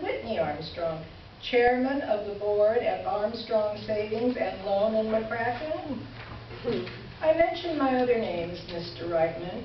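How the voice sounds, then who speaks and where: A woman's voice: mid-range voice, close to an everyday voice. A woman playing an indignant, articulate columnist reading out a formal, pointed letter of complaint in reader's theater. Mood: pointedly polite. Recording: echoey hall from far off, dull, hissy.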